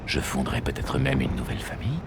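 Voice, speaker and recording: A man's voice: extremely low pitch, big pitch swings, quick pace, creaky, heavy background noise, heavily acted. A man playing a smug, bargaining captured Nazi officer in a French video game, with a haughty, self-important delivery.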